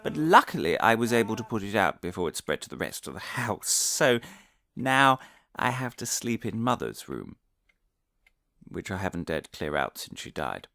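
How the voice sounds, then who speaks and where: Male actor as eccentric conspiracy-theorist professor, lowish voice, wildly animated pitch, earnest, pompous, halting stammers, comic audio drama. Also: vocal fry, no room sound, full character voice. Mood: earnest, self-satisfied.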